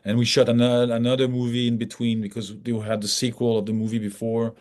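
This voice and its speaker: A man from France speaks English as a second language in a relaxed interview setting. His pitch is middling, and he explains things colloquially, often voicing little hypothetical remarks. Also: quick pace. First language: French. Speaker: filmmaker being interviewed. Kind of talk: casual interview talk.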